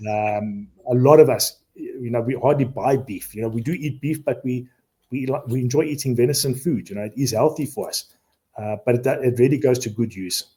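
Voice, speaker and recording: A South African man in his fifties speaks with a warm, mellow voice at a middle pitch. He talks earnestly in a relaxed podcast conversation, often repeating a word as he gathers his thoughts. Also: moderate pace. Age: 50s. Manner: earnest, repeats words while explaining. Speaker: safari outfitter guest.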